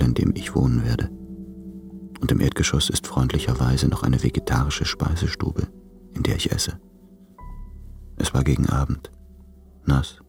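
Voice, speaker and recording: A brooding, haunted man in a literary radio drama. His voice is extremely deep and gravelly, at times breathy, and he speaks in a hushed, introspective way over faint music. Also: exaggerated voice. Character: brooding, haunted, yearning man recounting thoughts and dreams.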